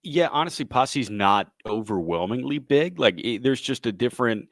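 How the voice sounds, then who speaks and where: A man's voice: medium pitch, animated, normal speed, no echo, clean studio sound. A man in his late twenties with an American accent, a sports broadcaster answering casually as an interview guest.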